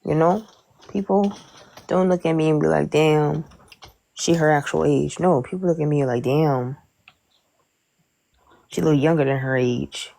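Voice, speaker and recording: A woman's voice: sits low, measured pace, vocal fry, long pauses, mellow, rough recording. A woman in her twenties chatting casually on a livestream, playful and teasing with a mock-indignant edge. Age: 20s. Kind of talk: casual chat.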